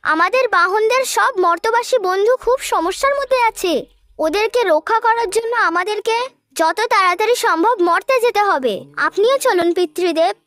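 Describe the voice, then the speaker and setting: A woman's voice: very high voice, expressive, moderate pace, a bit bright. A woman voicing an earnest, dutiful young goddess in a mythological cartoon, speaking politely. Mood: earnest concern.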